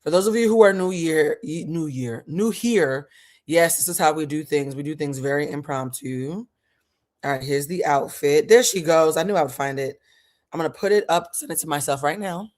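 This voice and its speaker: A vlog host in their forties with a husky voice, chatting casually and impromptu, talking frankly and swearing freely. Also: mid-range voice, moderate pace, darkish tone.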